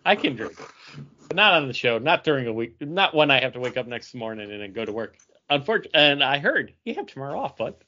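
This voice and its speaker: A man with a forward, bright voice and lively swings in pitch banters casually as a podcast co-host. The sound is a little muffled and lo-fi. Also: fast talker.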